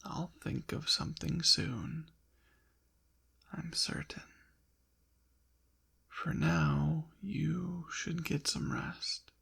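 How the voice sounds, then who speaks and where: An ancient demon acted out by a man murmurs close in an ASMR roleplay. The voice is very breathy and husky, often sinking to a whisper, with heavy crackling fry. He speaks slowly and formally, haughty and possessive, yet with a teasing softness. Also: fairly high pitch, fairly even intonation, full character voice. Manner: formal, slow, deliberate diction. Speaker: audio roleplay performer. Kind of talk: ASMR roleplay, intimate scripted monologue.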